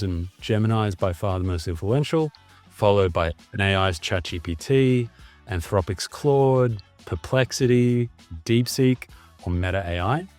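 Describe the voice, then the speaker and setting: A man's voice: fairly low pitch, a little mellow. A man with an Australian accent, a guest on a podcast, explaining fluently in a casual conversation over a video call.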